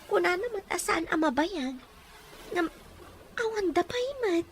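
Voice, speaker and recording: A woman's voice: very high voice, lively intonation, a little slow, a little hiss or hum. A woman with an Ilocano accent playing a grumbling, suspicious woman in a radio drama. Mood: complaining.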